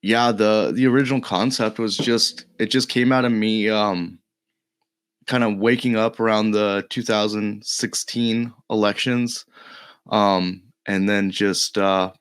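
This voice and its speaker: Male podcast guest, low and a touch gravelly from feeling unwell, halting casual chat, dry sound. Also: steady tone, no echo. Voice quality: slightly gravelly. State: a little under the weather.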